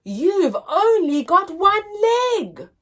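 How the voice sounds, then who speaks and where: A woman's voice: extremely high pitch, sing-song, drawn-out words, fairly bright tone, voice pushed up, exaggerated voice. A woman voicing nosy, blunt young children in a storybook, pestering with wide-eyed questions. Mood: blunt curiosity.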